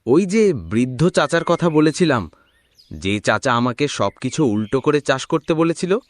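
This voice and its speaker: A man's voice: medium pitch, big pitch swings, a little slow, no room sound. A man playing a poor, hopeful, devout village farmer in a cartoon, exclaiming in an earnest, folksy way. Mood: earnest, confiding. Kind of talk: Bengali cartoon voice acting.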